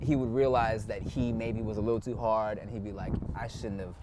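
A man in casual, relaxed podcast conversation, talking about his parents and laughing easily. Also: fairly low pitch, fairly quick, background noise. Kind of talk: casual chat.

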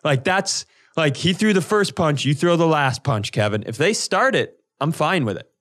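A fairly high-voiced man co-hosts a casual comedy podcast. He tells stories in an animated way, swearing freely and slipping into quoted dialogue as he goes. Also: normal speed. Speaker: podcast co-host. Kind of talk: casual comedic storytelling chat.

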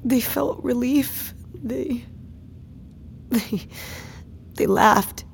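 Halting, guilt-ridden penitent. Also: female voice, fairly high pitch, gravelly, a little hiss or hum, heavily acted. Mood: hesitant. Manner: halting, faltering, pleading, breaking into whispers. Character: guilt-ridden wrongdoer pleading for forgiveness. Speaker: actor in a fiction podcast. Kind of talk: dramatic audio drama acting. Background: faint music.

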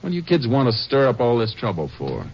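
A man's voice: medium pitch, wildly swooping pitch, fast. An actor playing a seasoned, fatherly but firm Texas Ranger, plain-spoken in the manner of a 1950s radio western. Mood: stern. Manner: folksy, firm, questioning. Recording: muffled, noisy 1950s radio recording.

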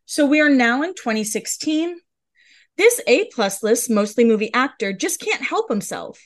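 Female podcast co-host, a touch higher pitch, casual chatty read of gossip items. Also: very animated, moderate pace. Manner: chatty, reads blind items aloud. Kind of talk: casual celebrity gossip chat.

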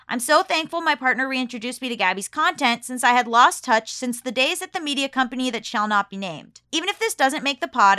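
A woman's voice: fairly high pitch, sharp tone, smooth, no room sound, studio quality. An American woman hosting a podcast, chatting informally and effusively and reading listener mail aloud. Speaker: podcast host.

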